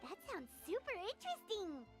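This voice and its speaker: Tiny curious game fairy: extremely high, squeaky childlike pitch, swooping and eager, loud music beneath. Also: female voice, wildly swooping pitch, normal speed, heavily acted. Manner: eager, refers to self in third person. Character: small, excitable, curious childlike female fairy companion. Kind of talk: animated video game voice acting. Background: video game music.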